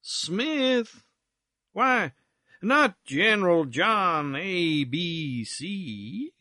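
A man voicing an affected gentleman gossip in a storybook reading, pompously drawling out his syllables. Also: high-pitched, no echo, clean studio sound, pitched above the performer's own voice, full character voice. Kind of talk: theatrical storybook character voice.

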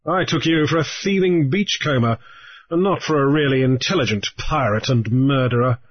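A man voicing a calm, grave male sea captain with a dry wit, who speaks in a measured way. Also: mid-range voice, dull audio, voice pushed up, lightly acted.

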